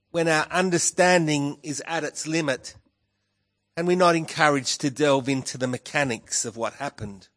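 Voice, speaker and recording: A pastor delivering a sermon in a croaky voice, measured and emphatic, rising on key words. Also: male voice, fairly high pitch, fairly bright tone. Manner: slow, measured preaching with emphatic rises. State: hoarse, croaky voice with a cough.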